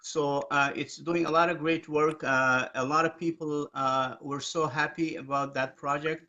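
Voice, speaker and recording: A man's voice: a little high, fairly even intonation, a little slow, pushed and bright. A man hosting a casual live stream chat about his aid work, talking hesitantly with many fillers. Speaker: aid agency founder, live stream host. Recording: dull lo-fi live stream audio.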